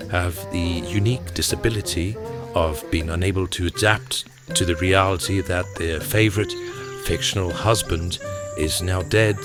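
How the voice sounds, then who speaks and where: A man doing a very deep documentary narrator. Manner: measured, mock-solemn scientific delivery. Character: deadpan male nature documentary narrator observing odd creatures. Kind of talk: parody nature documentary narration. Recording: loud music behind voice.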